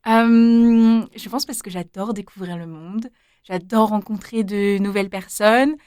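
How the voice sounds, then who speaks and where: A woman's voice: medium pitch, fairly even intonation, a little slow, darkish tone, smooth, dry recording. Casual young woman interviewee, lots of fillers, dry sound. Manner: hesitant, many fillers, laughs easily. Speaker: interviewee, former volunteer abroad.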